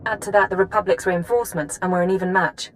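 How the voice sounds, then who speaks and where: A woman playing a brisk, composed intelligence analyst in a video game, speaking precisely and formally. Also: fairly low pitch, steady tone, slight background noise, lightly acted. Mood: composed, serious.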